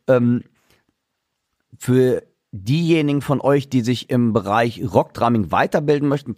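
A man's voice: mid-range voice, a little mellow, no echo, studio quality. A man hosting a casual podcast interview, chatty and loose, with many fillers and restarts. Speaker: podcast host and drummer.

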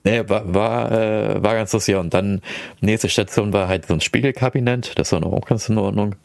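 A man's voice: fairly low pitch, steady tone, normal speed, creaky, darkish tone, no room sound, studio quality. A man hosting a casual podcast chat, telling an anecdote in a conversational way and acting out bits of dialogue. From Philippines. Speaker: podcast host.

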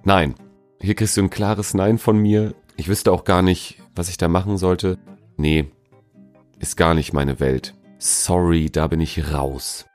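A man's voice: deep voice, fairly even intonation, measured pace, a little mellow, soft background music. A man answering interview questions in a casual, informal, laid-back way, as a musician talking about himself. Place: at home.

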